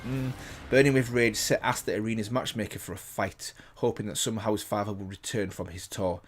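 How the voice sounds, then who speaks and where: A man reading a text aloud as a reaction video host, breaking off for casual comments of his own. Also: fairly low pitch. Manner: casual asides while reading. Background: loud background music.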